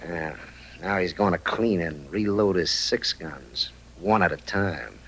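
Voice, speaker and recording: An actor playing a shrewd, threatening saloon boss in an old-time radio western, with a sardonic, calculating manner. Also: male voice, low-pitched, lively intonation, a little slow, creaky, fairly bright tone, a little hiss or hum, heavily acted. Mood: dry, sardonic.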